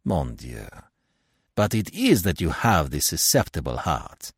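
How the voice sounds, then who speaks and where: A man voicing an older, self-assured Belgian male detective with a French-speaking Belgian accent and a gently ironic, emphatic, avuncular manner, in an audiobook. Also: mid-range voice, big pitch swings, unhurried, no room sound, clean studio sound, voice pushed up. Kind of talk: audiobook character voice.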